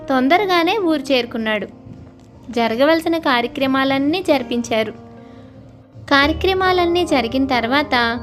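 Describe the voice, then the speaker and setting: A woman storyteller delivering scripted narration of a moral tale. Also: high voice. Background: soft music.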